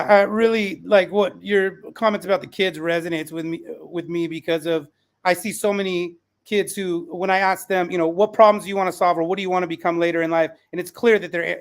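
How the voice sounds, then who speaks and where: Man, high-pitched voice, casual conversational tone, panel host and teacher. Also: steady tone, fairly quick. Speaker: podcast host and school teacher.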